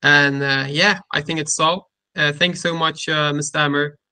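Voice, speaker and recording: A man with a Turkish accent hosting a casual technical interview, speaking haltingly and often repeating and rephrasing his words. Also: fairly high pitch, fairly even intonation, bright, forceful tone, clean tone, dry recording. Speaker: interviewer and cybersecurity content creator.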